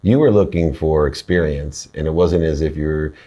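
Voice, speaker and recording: Man, middle-aged, low voice, eager host, conference room interview. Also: normal speed, mellow. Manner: enthusiastic, laughs often. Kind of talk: interview conversation.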